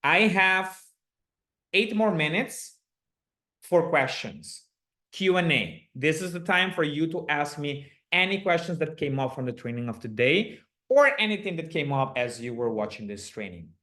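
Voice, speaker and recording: A man's voice: a little high, lively intonation, measured pace, pushed and bright. A man from Aruba with a slight Aruban accent and Papiamento as his first language, hosting a live online coaching session over a video call in an upbeat, chatty way. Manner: upbeat, chatty, laughs often.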